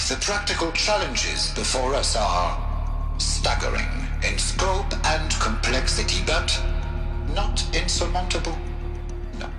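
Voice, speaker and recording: A pompous scientist leading a program in a video game. His voice is a little high and his delivery formal, precise and emphatic. It rings with room echo over clear music. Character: pompous principled male scientist in charge of a program. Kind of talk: formal orientation speech. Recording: roomy echo under loud music.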